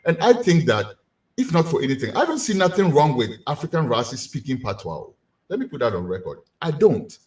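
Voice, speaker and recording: Animated Ghanaian man lecturing. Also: on the high side, a little fast. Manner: animated, rhetorical questions to the audience. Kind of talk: community lecture.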